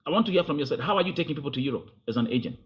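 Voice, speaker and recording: Brief: man from Kenya, pushy host who talks over his guest, rapid delivery, muffled lo-fi sound. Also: on the high side, expressive. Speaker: interviewer. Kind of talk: confrontational interview.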